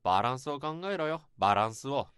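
An actor playing a blunt senior office worker who talks roughly and lectures his juniors, in a radio drama. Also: medium pitch, lively intonation, quick pace, fairly bright tone, dry recording, clean studio sound, lightly acted. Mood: gruff teasing. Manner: rough, bossy, lecturing speech. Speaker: senior coworker.

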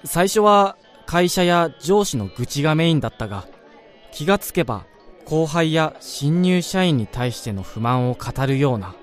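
Radio drama: reserved male narrator-friend, slightly high voice, understated delivery, light music underneath. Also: very animated, moderate pace. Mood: reflective. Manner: understated, low-key reactions. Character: reserved, detached man, narrator among old school friends.

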